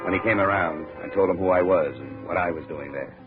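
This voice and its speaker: An actor playing a hard-boiled insurance investigator in a 1950s radio drama, with clipped, dry, deadpan delivery. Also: male voice, deep voice, fairly quick, lightly acted. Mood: terse narration. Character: hard-boiled, terse male insurance investigator narrating his case. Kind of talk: 1950s radio detective drama acting and narration. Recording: muffled vintage radio recording with music.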